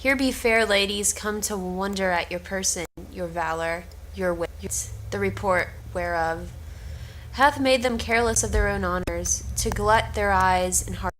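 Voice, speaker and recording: Female reader, mid-pitched, cold-reading period comedy dialogue over faint background noise. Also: unhurried, a bit bright, rough recording. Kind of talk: unrehearsed cold read of an old stage play.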